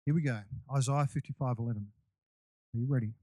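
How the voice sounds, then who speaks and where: A man's voice: mid-range voice, fast talker, dark tone, dry recording. A man preaching a sermon to his congregation in a loose, conversational way, with restarts and asides. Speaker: pastor.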